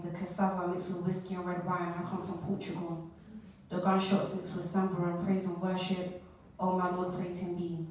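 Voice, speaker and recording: A young woman performing spoken word poetry on stage, with a rhythmic, measured delivery and repeated refrains. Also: lowish voice, a little mellow. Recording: echoey hall, voice from far off, faint noise.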